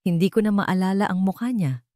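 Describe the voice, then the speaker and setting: A woman voicing a troubled rich young man in an audio drama, earnest and halting as he confesses an old fear. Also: medium pitch, warm tone, no echo, clean studio sound, voice pushed up, lightly acted. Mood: pained.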